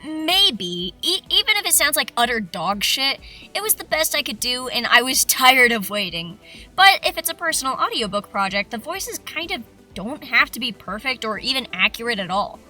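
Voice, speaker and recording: Bright squeaky-voiced woman, chatty narration. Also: sing-song, normal speed. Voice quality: squeaky, youthful. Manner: chatty, self-deprecating. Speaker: video creator. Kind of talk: casual scripted narration. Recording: faint music behind the voice.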